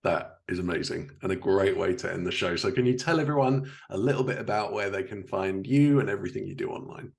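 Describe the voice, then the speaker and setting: A man's voice: low-pitched, a little fast, creaky, few pauses. A man hosting a podcast interview and putting questions to his guest. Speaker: podcast host.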